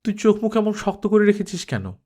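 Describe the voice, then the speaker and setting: Man voicing an anxious, prying Bengali mother, pitched up. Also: sing-song, a little fast, dark tone. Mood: concerned. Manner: nagging, questioning. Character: fretful, prying mother of a grown daughter. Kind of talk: Bengali audiobook character acting.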